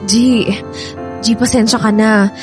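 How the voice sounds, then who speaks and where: Nervous Filipino woman friend, halting, over music. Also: fairly high pitch, steady tone. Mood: hesitant, apologetic. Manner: halting, stammering, apologetic. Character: nervous, hesitant woman friend breaking painful news gently. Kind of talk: Filipino radio drama acting. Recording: dramatic music bed under the voice.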